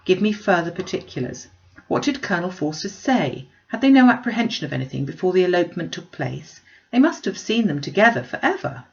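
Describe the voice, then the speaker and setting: A woman voicing an anxious, indignant young gentlewoman in a classic novel, urgent, probing and emphatic. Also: lowish voice, sing-song, fairly quick, vocal fry, highs cut off. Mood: urgent questioning.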